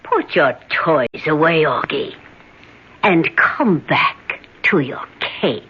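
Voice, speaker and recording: An actor in an old radio drama playing a calm, unearthly man who coolly commands another man to come back. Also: a little high, slow pace. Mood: cold. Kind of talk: 1970s radio drama acting. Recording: lo-fi old radio broadcast with faint hiss.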